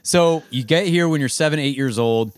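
A man's voice: on the high side, normal speed, clear, resonant tone. A man hosting a casual podcast interview, upbeat and chatty, dropping slang like bro and dude. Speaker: podcast host.

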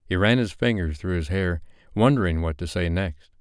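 Deep-voiced male audiobook narrator. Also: clear, resonant tone, no echo, studio quality. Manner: steady, measured reading.

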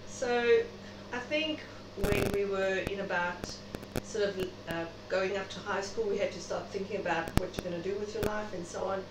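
A woman's voice: mid-range voice, moderate pace, few pauses. A middle-aged South African woman speaking reflectively and chattily as a guest in an interview. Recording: noisy, echoey room, distant mic.

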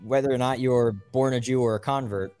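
A man's voice: medium pitch, steady tone, a little fast, darkish tone, clear, resonant tone, faint music behind. A man co-hosting a casual religious discussion podcast in an easygoing, conversational way. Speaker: podcast co-host.

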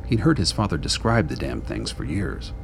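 Narrator for radio drama: man, very low voice, dramatic scripted read over a music bed. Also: very animated, normal speed, creaky.

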